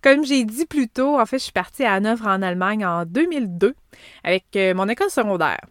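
A woman's voice: medium pitch, animated, fairly quick, dry recording, studio quality. A woman in her thirties with a Québécois accent, hosting a casual personal podcast, chatty and rambling with many asides and fillers. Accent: Québécois French. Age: 30s. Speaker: podcast host.

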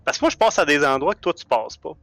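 A man's voice: a little high, big pitch swings, quick pace, bright, forceful tone, no room sound. A man playing his character in a tabletop game, boastful and playful, often stammering and restarting. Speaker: player.

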